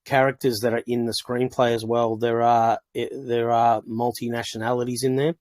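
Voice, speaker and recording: A man's voice: medium pitch, flat delivery, normal speed, a little mellow, dry recording. A man answering questions in an online AMA as a project team member, casual and easygoing.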